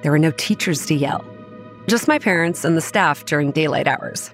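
An actress playing a self-assured young woman who manages a campground, narrating a horror audio drama in a measured, matter-of-fact way. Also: deep voice, lively intonation, faint music behind. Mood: measured, reminiscing. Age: young adult. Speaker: narrator and lead character. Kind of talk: scripted first-person horror drama narration.

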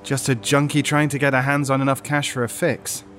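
A man's voice: mid-range voice, flat delivery, brisk, fairly bright tone, lightly acted. An actor playing a supportive, concerned male shop colleague in a naturalistic audio drama, helpful and conciliatory.

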